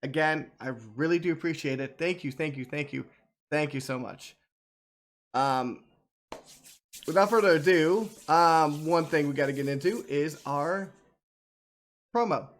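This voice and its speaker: A man's voice: on the high side, a little slow, pauses often. A man hosting a gameplay stream, chatting casually to viewers in a rambling, repetitive way. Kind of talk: casual chat to viewers, reacting to game cutscenes.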